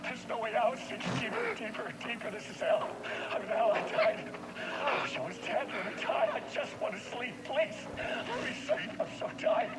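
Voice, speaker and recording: An actor playing a tormented, exhausted writer coming apart in a psychological horror game, rambling and repeating himself in an anguished, often voiceless breath. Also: male voice, very high voice, heavy background noise. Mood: despairing. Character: tormented writer unravelling into madness, trapped in darkness.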